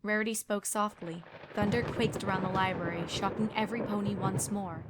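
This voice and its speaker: A woman's voice: mid-range voice, steady tone, fairly quick, heavy background noise. A woman reading scripted story narration. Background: music.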